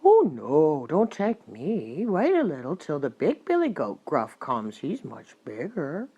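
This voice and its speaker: Middle billy goat, done by a woman: timid pleader, drawn-out, swooping storybook delivery. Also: deep voice, wildly swooping pitch, warm tone, husky, highs cut off, exaggerated voice. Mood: pleading. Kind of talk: storybook character voice.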